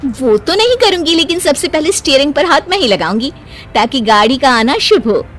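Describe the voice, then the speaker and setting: A woman voicing a proud, stubborn young married woman in an animated moral story, with a bossy, emphatic way of talking. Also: high-pitched, fairly quick, soft background music. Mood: eager.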